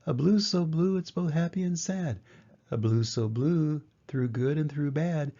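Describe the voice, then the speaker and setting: Older man reading as a wistful little boy, slightly raised pitch. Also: a little slow, warm tone. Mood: wistful, hopeful. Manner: earnest, sing-song rhyming. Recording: dull, muffled lo-fi sound.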